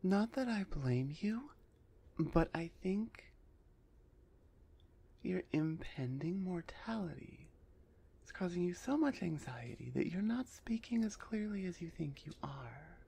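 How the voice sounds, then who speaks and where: A woman voicing a gruff female minotaur executioner in an ASMR roleplay, husky and often half-whispered, impatient and sardonic with her captive. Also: fairly low pitch, sing-song, slow pace, warm tone, full character voice. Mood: baffled.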